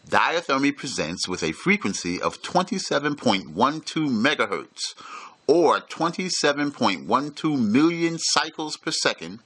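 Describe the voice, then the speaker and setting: A man reading a scripted instructional lecture with formal, measured enunciation. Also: mid-range voice, sharp tone, no echo.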